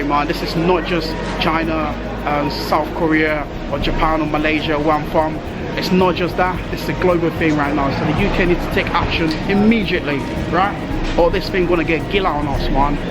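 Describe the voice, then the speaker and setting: A man with a high voice narrates a casual walk-around vlog. He talks informally and exclaims often, with loud music playing behind him in a supermarket. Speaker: vlogger. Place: inside a large supermarket.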